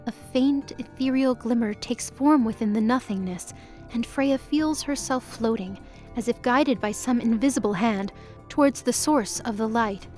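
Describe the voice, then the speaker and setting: High-voiced woman narrating drama over music. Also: moderate pace, darkish tone.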